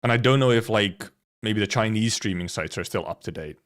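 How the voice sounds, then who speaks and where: A man live streaming in casual chat, rambling and haltingly thinking aloud, with some offhand swearing. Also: lowish voice, a bit bright. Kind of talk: casual chat, reacting to viewers.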